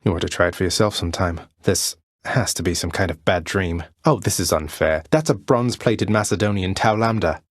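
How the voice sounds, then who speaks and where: An actor playing a wry young prince of the underworld in a video game, courteous with a dry, understated sarcasm. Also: male voice, sits low, brisk, hardly any pauses, no echo. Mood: dry, taunting. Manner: dry, understated, politely sardonic. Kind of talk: video game character voice lines.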